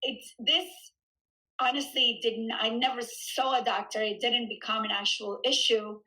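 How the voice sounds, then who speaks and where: Bright-voiced woman trainer telling her story. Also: a little high, unhurried, poor audio. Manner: chatty, animated storytelling with frequent "um" and laughs. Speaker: fitness studio founder and trainer, podcast guest. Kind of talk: casual conversational interview.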